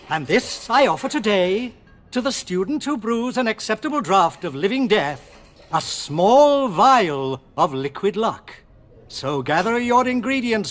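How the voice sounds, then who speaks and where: Casting: pompous, jovial older schoolmaster for a game, high voice swooping widely. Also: male voice, fairly bright tone, exaggerated voice. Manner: pompous, theatrical delivery. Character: jovial, theatrical older male potions professor. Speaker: teacher. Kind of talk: video game voice acting.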